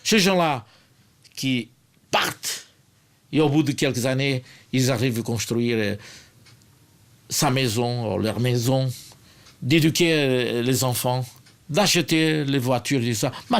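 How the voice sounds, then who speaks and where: A middle-aged man with a Portuguese accent talks in an earnest documentary interview. His voice has a gravelly edge and sits at an ordinary pitch. He hesitates often and ends points with tag questions. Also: expressive, measured pace, long pauses. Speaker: sociologist interviewee.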